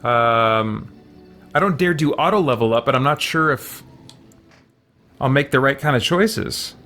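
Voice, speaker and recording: A man's voice: medium pitch, expressive, unhurried, sharp tone, soft background music. A man with a mid-range voice hosts a gaming video in a casual way. He thinks aloud as he plays, muttering to himself and hesitating between choices. Mild oaths slip out as exclamations. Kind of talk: casual let's-play commentary, thinking aloud.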